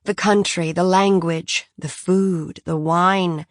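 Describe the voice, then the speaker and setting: A woman's voice: lowish voice, fairly even intonation, slow pace, no echo, studio quality. A woman reading an audiobook, voicing a genteel, fussy mother who talks in a prim, gushing and insistent way. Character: genteel fussy francophile mother, high-strung.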